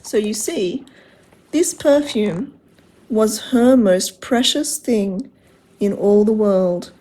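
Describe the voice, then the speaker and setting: Female children's storyteller, unhurried, soft-edged mellow tone with some huskiness, expressive read-aloud delivery. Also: mid-range voice, rough recording. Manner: expressive, simple phrasing addressed to children.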